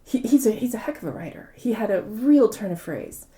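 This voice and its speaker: A woman's voice: a little high, animated, a little fast, mellow. A woman speaking conversationally as an interviewed author, explaining things reflectively and repeating words for emphasis. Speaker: interviewed novelist.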